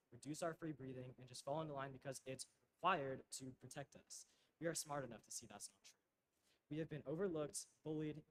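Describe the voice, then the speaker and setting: A teenage boy speaking quickly at a board meeting's public comment. His voice is a little hoarse as he loses it. He speaks earnestly and builds emphasis through repeated phrases. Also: medium pitch, low-quality recording. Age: teens. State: losing his voice. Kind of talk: prepared public comment speech. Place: school board meeting room.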